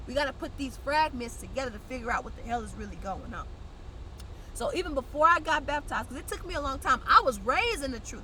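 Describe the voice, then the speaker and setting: A young woman talks straight to her viewers in a loose, slangy, blunt way. Her high, bright voice comes through congested and nasal, and there is a faint hiss of noise behind her. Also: low-quality recording. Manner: blunt, conversational, uses slang. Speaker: vlogger. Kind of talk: casual direct-to-camera chat.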